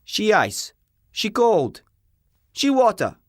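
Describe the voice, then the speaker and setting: A man's voice: sits high, wildly swooping pitch, slow, no room sound, studio quality, pitched above the performer's own voice, exaggerated voice. A man voicing a blunt, fatalistic foreign shopkeeper in an audiobook, with clipped, matter-of-fact broken English. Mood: shrugging. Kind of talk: audiobook character acting.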